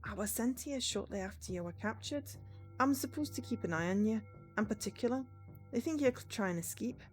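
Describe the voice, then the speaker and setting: An earnest, anxious young female guard in a cyberpunk game, acted by a woman with her pitch raised. She sounds soft-hearted and pleading over faint music. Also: expressive, fairly quick, natural voice.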